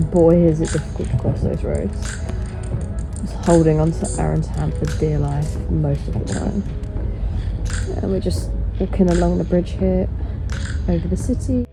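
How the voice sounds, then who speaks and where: Low-voiced woman narrating a travel vlog. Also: poor audio. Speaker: travel vlogger. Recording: music playing behind voice. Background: music.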